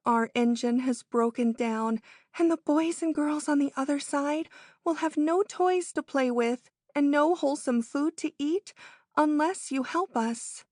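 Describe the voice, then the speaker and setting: A woman's voice: sits high, a little slow, darkish tone, no echo, clean studio sound. A woman playing small dolls and toys in a children's storybook reading, pleading earnestly and imploringly.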